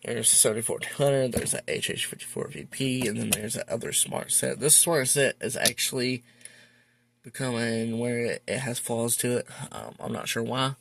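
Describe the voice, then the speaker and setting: A man's voice: mid-range voice, fairly even intonation, moderate pace, creaky, a bit bright, slight background noise. A man giving a casual, rambling, matter-of-fact show-and-tell as a hobbyist collector at home. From United States. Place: indoors at home.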